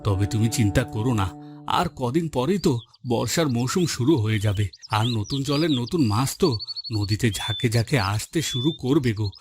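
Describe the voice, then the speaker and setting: A man's voice: mid-range voice, lively intonation, fairly quick, lightly acted. A man playing a humble, hardworking village fisherman and husband in a cartoon, talking in a plain, homely, reassuring way. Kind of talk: Bengali moral-story cartoon dubbing. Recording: loud music bed behind the voice.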